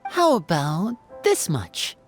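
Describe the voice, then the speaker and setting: A woman's voice: fairly low pitch, huge pitch swings, takes it slowly, full character voice. An actress playing a polite, composed female hunter in an English-dubbed anime game, courteous and reserved. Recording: music behind the voice.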